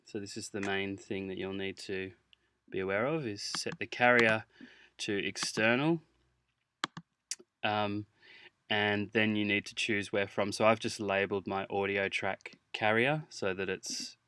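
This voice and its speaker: A man teaching music software in an informal tutorial, hesitant as he thinks aloud while demonstrating. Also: fairly low pitch, fairly even intonation, measured pace. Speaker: music production instructor. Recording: clear background noise, mouse clicks.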